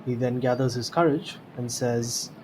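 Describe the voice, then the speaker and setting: A man with a middling pitch narrates an audio drama from a script. The recording carries faint noise. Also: normal speed, darkish tone. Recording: slightly noisy home mic.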